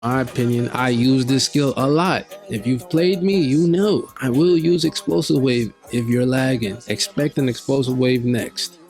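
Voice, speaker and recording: A man's voice: mid-range voice, fairly even intonation, moderate pace, few pauses, a little mellow, soft background music. A man giving casual, slangy, hyped gaming commentary, ranking fighting game characters. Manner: slangy, hyped, laid-back street talk. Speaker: gaming video creator.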